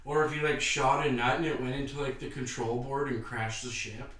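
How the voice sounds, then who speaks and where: A man's voice: mid-range voice, fairly even intonation, fairly quick, a bit bright. A man chatting casually as a podcast co-host in a crude, joking way. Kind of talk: casual chat. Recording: echoey room, distant from mic.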